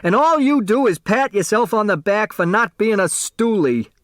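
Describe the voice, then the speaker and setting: Plaintive high-pitched wailing man acted by narrator. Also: lively intonation, normal speed, fairly bright tone, no echo, clean studio sound. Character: wailing, muttering, accusing man, full of pity.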